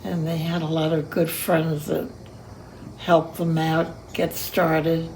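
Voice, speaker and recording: Very old woman, slow and gravelly. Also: low voice, level tone, a little mellow, slight background noise, rough recording. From Cuba. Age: 100. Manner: slow, reminiscing, hard of hearing. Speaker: interviewee. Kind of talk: oral history interview.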